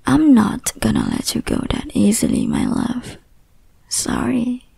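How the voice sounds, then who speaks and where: A young woman voicing an obsessive, possessive lover in an ASMR roleplay, whispering softly with teasing menace. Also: fairly high pitch, wildly swooping pitch, measured pace, dry recording, exaggerated voice. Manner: soft breathy whispering, teasing and threatening.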